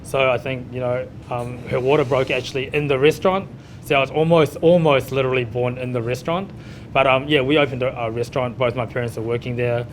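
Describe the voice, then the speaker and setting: A man in his thirties with a New Zealand accent and a mid-pitched voice talks informally, as in an interview. He peppers his conversational account with "you know". There is some rumbling noise around him. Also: steady tone, quick pace, rough recording. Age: 30s. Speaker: chef and restaurant owner being interviewed.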